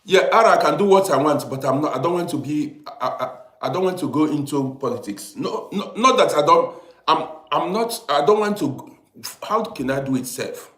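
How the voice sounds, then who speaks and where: A man's voice: on the high side, expressive, moderate pace, a bit bright, reverberant. A man from Africa, hosting a casual livestream, chatting and greeting viewers by name, repeating himself as he talks. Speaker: livestream host. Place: lives in Europe.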